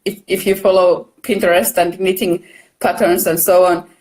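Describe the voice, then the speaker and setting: A woman from Finland, a native Finnish speaker, talking casually as a knitwear designer guest in a live video chat, soft-spoken and friendly. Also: fairly low pitch, level tone, moderate pace.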